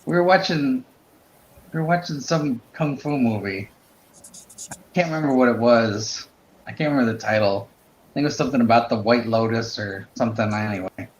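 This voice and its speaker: A man's voice: mid-range voice, a little fast. A man chatting casually as a podcast panelist, telling an anecdote. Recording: dull, roomy, faintly noisy group recording.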